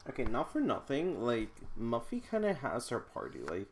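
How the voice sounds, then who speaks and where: A man's voice: medium pitch, huge pitch swings, normal speed. A man chatting casually as he reacts to books, with playful, incredulous commentary and slangy asides. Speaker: book vlogger. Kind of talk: casual reaction read-along chat.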